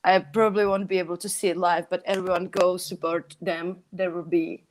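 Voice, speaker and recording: A woman's voice: on the low side, flat delivery, brisk, low-quality recording. A woman who is a native Czech speaker, co-hosting a show in casual chat. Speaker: show co-host.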